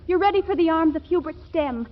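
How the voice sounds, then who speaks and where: A woman's voice: very high voice, lively intonation, a little fast, highs cut off. An actress playing a melodramatic, lovesick sixteen-year-old girl with grand theatrical delivery in a 1940s radio comedy. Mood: lovesick, tragic.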